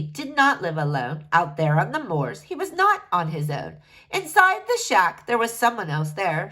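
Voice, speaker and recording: A woman reading a rhyming children's story aloud in an expressive narrating style. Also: fairly high pitch. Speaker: storybook reader.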